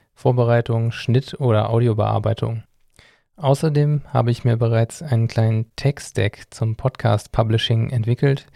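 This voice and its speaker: A man's voice: medium pitch, vocal fry, dark tone, no echo, studio quality. A young adult man hosting a solo podcast, reading from prepared notes. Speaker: podcast host. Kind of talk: scripted solo podcast narration.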